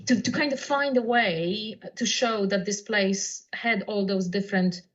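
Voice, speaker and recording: A woman's voice: mid-range voice, a little slow, fairly bright tone. Woman, muffled lo-fi sound. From Poland. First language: Polish. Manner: thoughtful, searching for words as she goes. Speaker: writer and translator. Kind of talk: reflective conversation about language. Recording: dull lo-fi audio.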